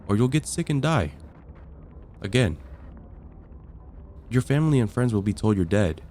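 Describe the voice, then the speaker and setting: A man playing a composed, matter-of-fact vampire counselor in an ASMR roleplay, speaking in a measured, clinical way. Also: lowish voice, dark tone, slight background noise, natural voice. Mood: puzzled, skeptical.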